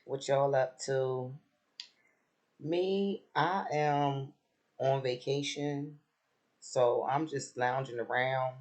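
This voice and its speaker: A man with a raspy voice who has just woken up, chatting casually about a TV episode with lots of fillers and restarts. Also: mid-range voice, drawn-out words, long pauses. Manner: relaxed, rambling, many fillers and restarts. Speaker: reality TV commentator.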